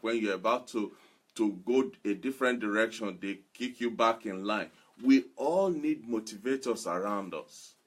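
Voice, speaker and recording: Husky low-voiced male motivational preacher. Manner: emphatic, deliberate repetition of phrases. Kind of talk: motivational sermon.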